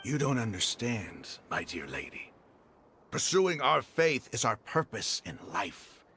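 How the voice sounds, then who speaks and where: An actor playing a proud, hostile, zealous desert mercenary leader in a video game, scornful and grandiose in a theatrical way. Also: male voice, fairly low pitch, very animated, slow pace, sharp tone, slight background noise, exaggerated voice. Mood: fervent. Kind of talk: dramatic video game voice acting. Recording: background music under the voice.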